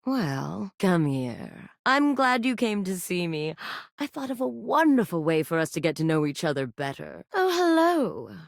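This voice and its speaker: A woman's voice: lowish voice, sing-song, a little slow, gravelly, dry recording, full character voice. A woman voicing a flirtatious, brazen living sex toy in a video game, speaking in a sultry, teasing drawl on a close studio mic. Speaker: voice actor. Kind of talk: video game character voice lines.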